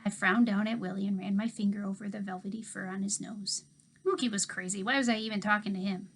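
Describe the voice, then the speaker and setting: A woman teacher reading a children's novel aloud to her class, expressive, dropping to a hush for asides. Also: medium pitch, quick pace. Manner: expressive storytelling, hushed asides.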